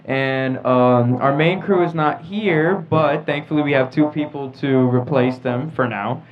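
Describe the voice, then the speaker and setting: A man's voice: mid-range voice, a little slow, a crowd in the background. A teenage or young adult American man hosting a casual group chat show. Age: teens or young adult. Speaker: host of a teen talk show. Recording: dull lo-fi audio with clear background noise.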